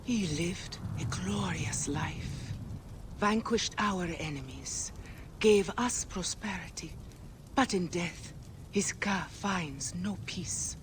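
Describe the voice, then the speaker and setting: An actress playing a solemn, devoted, ghostly Egyptian queen in a video game, with a stately, breathy delivery. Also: fairly low pitch, takes it slowly, a little hiss or hum. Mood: reverent, mournful. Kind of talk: video game cutscene acting. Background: music.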